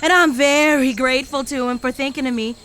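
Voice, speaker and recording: A shrill, indignant frontier lady. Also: steady tone, fairly quick, fairly bright tone. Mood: scornful. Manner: haughty, florid, scolding. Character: indignant, scornful frontier lady with grandiose insults. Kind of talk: theatrical Western audio drama acting.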